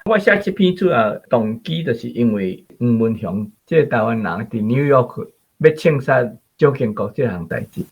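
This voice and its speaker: Gravelly, husky Taiwanese man. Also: medium pitch, lively intonation, moderate pace. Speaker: author, guest. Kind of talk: explaining his own writing. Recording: dull lo-fi recording.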